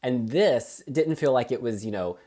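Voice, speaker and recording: A woman co-hosting a casual review chat, talking in an animated, chatty and opinionated way. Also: a bass voice, moderate pace. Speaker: co-host.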